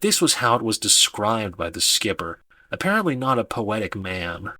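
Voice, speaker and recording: A man reading an audiobook as dramatic scripted narration, with deliberate emphasis on key words. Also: lowish voice, big pitch swings, sharp tone, no room sound.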